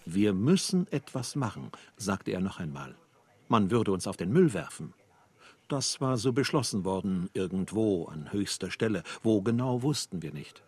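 A man narrating a literary radio play as a grave, husky voice relaying warnings. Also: lowish voice, animated, normal speed, no echo.

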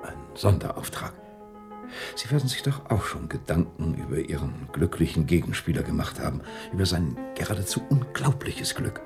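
An actor playing a courteous, probing, driven state prosecutor in a German radio drama, with formal, careful phrasing. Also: male voice, deep voice, very animated, normal speed, creaky, heavily acted. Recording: studio radio play with music behind.

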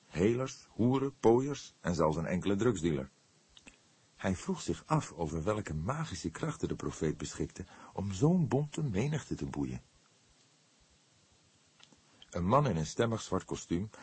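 Deep, husky male audiobook narrator. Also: darkish tone. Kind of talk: scripted narration of a crime novel.

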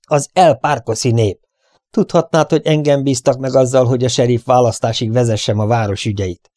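A man voicing a domineering, angry western bandit boss with a gruff, bullying, deceitful manner. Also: mid-range voice, fairly even intonation, darkish tone, dry recording, studio quality, voice pushed up, natural voice.

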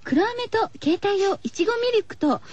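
A woman's voice: extremely high pitch, lively intonation, normal speed, darkish tone. A woman hosting a radio show, chatting casually to her listeners in a cutesy, girlish way. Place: radio studio. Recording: slightly dull, lo-fi radio recording.

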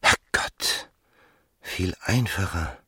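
An actor playing a cynical, calculating seducer in a radio play, husky and intimate, smooth-tongued and sure of himself. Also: male voice, low voice, very slow, pushed and bright, no room sound, clean studio sound, full character voice. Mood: calculating, musing. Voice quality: gravelly, husky. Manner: intimate, murmuring, drifting into whispers. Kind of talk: German radio play acting.

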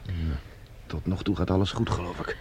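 Actor as deep-voiced, resolute man on the run. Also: fairly even intonation, moderate pace, lightly acted. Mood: tense, focused. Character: resolute, protective male escaped prisoner on Mars. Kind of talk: science fiction radio drama acting. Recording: noisy scene with background ambience.

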